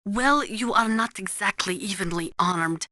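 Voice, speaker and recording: Crisp, forceful female weapons analyst. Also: medium pitch, unhurried, no room sound, studio quality. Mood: matter-of-fact, urgent. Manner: precise, matter-of-fact, instructive. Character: blunt, knowledgeable female military weapons analyst. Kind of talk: video game radio briefing.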